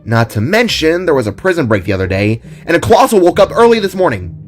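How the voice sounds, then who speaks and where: Man voicing an excitable, rambling young woman, swooping high. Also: quick pace, soft background music. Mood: intrigued, urgent. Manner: emphatic, rambling, exclaiming. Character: excitable, rambling young female field reporter. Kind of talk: audio drama character voice.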